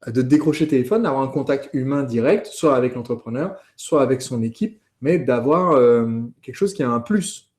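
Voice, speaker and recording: A man with a soft-edged, mellow voice at a medium pitch hosts a training course. He explains conversationally, working out his thoughts aloud and putting questions to a colleague. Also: normal speed, few pauses. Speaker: host of a business training course. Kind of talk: conversational teaching, interviewing a colleague.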